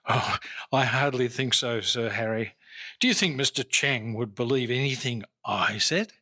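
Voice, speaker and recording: An actor playing a shrewd, wry Victorian-era customs inspector in a radio detective drama, formal and measured as he talks and narrates his cases. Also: mid-range voice, bright, forceful tone. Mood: dry, knowing.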